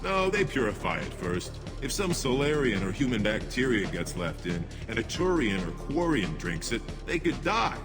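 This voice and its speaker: An actor playing a dry, put-upon space station groundskeeper in a video game. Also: male voice, medium pitch, very animated, moderate pace, few pauses, over music, lightly acted. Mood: wry. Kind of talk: video game character acting.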